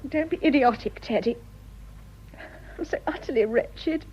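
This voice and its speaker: Actress as high-voiced, fraught young English wife. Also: female voice, unhurried, slight background noise, close to an everyday voice. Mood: wretched, resolute. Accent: upper-class English. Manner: earnest, emotionally fraught. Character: unhappy, conscience-stricken young upper-class English wife. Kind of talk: radio drama acting. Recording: muffled, dull radio drama recording.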